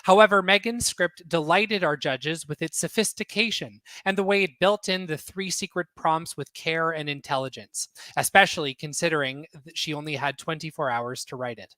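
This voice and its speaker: A man's voice: on the high side, moderate pace, few pauses, pushed and bright, no room sound. A man hosting an online theatre event, introducing speakers and interviewing guests.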